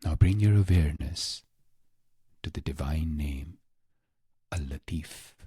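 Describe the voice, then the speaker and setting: A man narrating a scripted guided meditation in a hushed, breathy voice, speaking in short phrases with long pauses. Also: no echo, studio quality. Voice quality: low, breathy, husky voice with creak.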